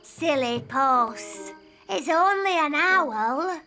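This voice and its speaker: A high-voiced, eager little princess. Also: very slow, faint music behind, heavily acted. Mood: cautious, reassuring. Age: child. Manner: expressive, childlike, eager. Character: lively little girl princess, imaginative and bossy. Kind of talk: preschool cartoon voice acting.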